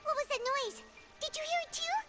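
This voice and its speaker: A woman voicing a tiny, chatty fairy companion in a video game, with a high, squeaky voice and a curious, talkative manner. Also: brisk, pushed and bright. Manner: chatty, inquisitive. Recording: game audio with music behind.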